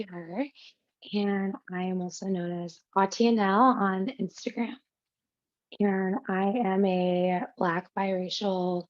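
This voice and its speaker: Woman on dull lo-fi video call. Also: medium pitch. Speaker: instructional support specialist. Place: bedroom, sitting on bed.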